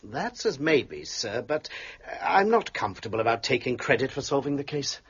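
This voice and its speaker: An actor playing an honest, easily exasperated police inspector in an audio drama, earnest and flustered. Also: male voice, mid-range voice, sing-song, normal speed, a bit bright, slightly rough, exaggerated voice. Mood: deferential. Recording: dull, muffled audio.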